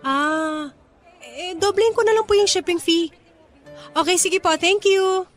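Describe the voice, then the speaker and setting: A woman's voice: very high voice, unhurried. A woman acting a carefree, exclamatory young spendthrift mother in a Tagalog radio drama, with a Filipino accent. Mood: cheerful, sheepish. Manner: exclamatory, breezy, Taglish slang. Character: carefree spendthrift young mother, breezy, giddy shopaholic friend. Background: music.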